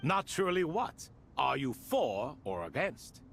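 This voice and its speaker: An actor playing an ancient, grand and wry elder in a video game, speaking in theatrical, courtly phrases. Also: male voice, mid-range voice, sing-song, unhurried, creaky, fairly bright tone, a little hiss or hum, exaggerated voice. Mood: wry, playful. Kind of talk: game voice acting.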